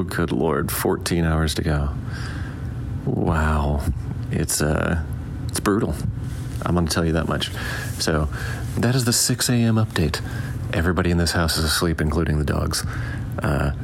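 A tired man giving sleepy, hushed updates during an all-night charity livestream at home. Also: background noise. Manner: sleepy, low-volume talk. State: exhausted from staying up all night. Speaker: charity stream host. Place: quiet house at night.

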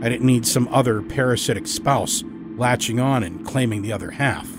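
A man delivers scripted first-person narration for a horror audio story. His reading is dramatic and expressive, with deliberate emphasis, and his medium-pitched voice sits over a musical score. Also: over music.

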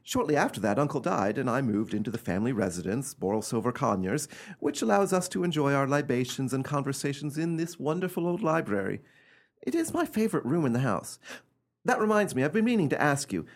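A man playing an eccentric gentleman who recounts an uncanny family tale in a theatrical, emphatic radio drama style. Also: mid-range voice, sing-song, a little fast. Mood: casual, genial.

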